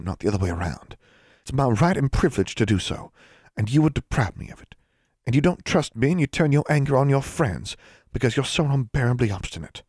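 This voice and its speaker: A man's voice: fairly low pitch, breathy edge, no room sound, studio quality, pitched above the performer's own voice. A man voicing a proper, imperious teenage older sister with a formal, precise and haughty way of talking.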